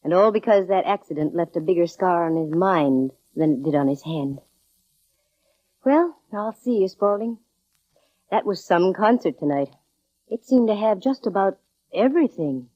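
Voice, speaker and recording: An actress playing a brisk, wry woman private detective in a 1940s radio drama, with slangy, hard-boiled delivery. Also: fairly low pitch, animated. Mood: wry, reflective. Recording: dull vintage radio recording, music behind.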